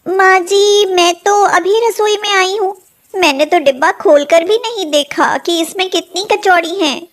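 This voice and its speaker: A very high, sweetly wheedling young daughter-in-law acted by a woman storyteller. She is sly and food-loving, and puts on wide-eyed innocence in an unhurried storybook delivery. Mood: feigned innocence. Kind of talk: animated moral story acting.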